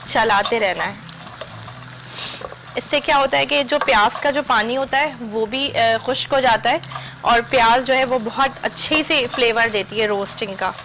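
A woman's voice: fairly high pitch, background noise. A young woman, hosting a TV cooking demonstration from a studio kitchen and walking viewers through each step. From Pakistan. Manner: instructive, addresses viewers directly. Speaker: cooking show host.

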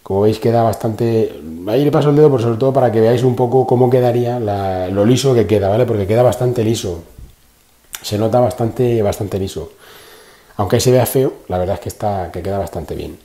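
Male host, mellow low voice, echoey garage phone audio. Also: fairly quick. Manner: chatty, repeats himself, frequent fillers. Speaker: car channel host. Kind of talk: casual how-to explanation. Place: indoor garage. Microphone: phone held in one hand.

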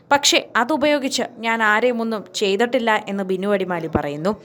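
A woman's voice: on the high side, a bit bright. A woman reading scripted entertainment news narration as a voice-over.

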